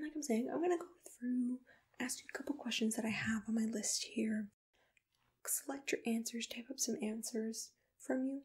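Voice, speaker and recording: A woman playing a gentle, soothing monster matchmaker in a whispered ASMR roleplay, unhurried and reassuring. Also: a little high. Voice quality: breathy, whispery. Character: soft-spoken, accommodating female monster matchmaker. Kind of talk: ASMR personal-attention roleplay, mostly whispered.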